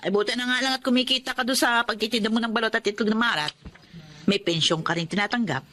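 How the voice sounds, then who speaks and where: A woman's voice: on the high side, fast talker, fairly bright tone, natural voice. An actress playing a nosy but consoling neighbor woman in a radio drama. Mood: friendly. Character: chatty older neighbor woman, comforting.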